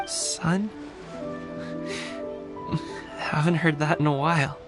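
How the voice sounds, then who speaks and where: A man's voice: on the high side, steady tone, slow pace, music in the background. An actor playing an earnest, bashful young man in a video game, a grateful son with a hesitant, boyish manner. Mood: bashful, surprised.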